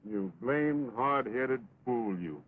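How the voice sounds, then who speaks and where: An actor playing an earnest, steadfast American cowboy in an early talkie western, speaking in a plainspoken drawl. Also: male voice, medium pitch, lively intonation, slow. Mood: earnest, concerned. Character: earnest, steadfast cowboy pleading with his wayward friend. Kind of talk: early 1929 talkie western film acting. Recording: muffled, scratchy 1920s film soundtrack.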